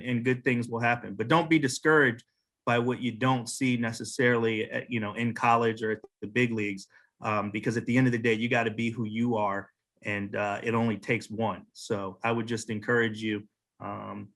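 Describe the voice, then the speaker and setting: A man with a medium-pitched voice speaks as a mentor on a panel. His delivery is earnest, encouraging and conversational, with deliberate phrasing. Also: fairly bright tone. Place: virtual panel over video call.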